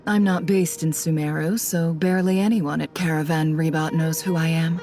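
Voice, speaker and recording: A woman playing a shrewd, self-assured female investigator in a video game, speaking in measured, polite phrases. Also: deep voice, fairly even intonation, darkish tone. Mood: composed, confident. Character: composed, shrewd female investigator, posing as a lost trader. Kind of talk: scripted video game voice acting.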